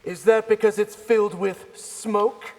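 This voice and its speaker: A man's voice: sits high, flat delivery, measured pace, echoey room, recorded from a distance, heavy background noise. An actor playing a brash, teasing male fighter in a video game, with a cheeky, questioning manner. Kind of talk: video game character dialogue.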